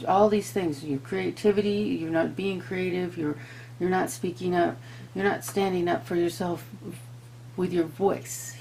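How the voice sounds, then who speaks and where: A woman's voice: mid-range voice, vocal fry, a little mellow, a little hiss or hum, low-quality recording. A woman hosting a tarot reading, earnestly addressing viewers, moving between reading aloud and informal asides. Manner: earnest, talks to viewers and to spirit. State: voice giving out, throat-clearing. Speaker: tarot reader and host.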